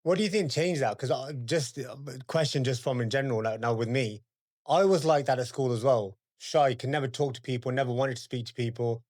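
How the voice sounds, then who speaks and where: A British man with a medium-pitched voice hosts a casual interview. He speaks informally and with slang, agreeing along and putting questions in a loose, chatty way. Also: a little fast, darkish tone. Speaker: podcast host.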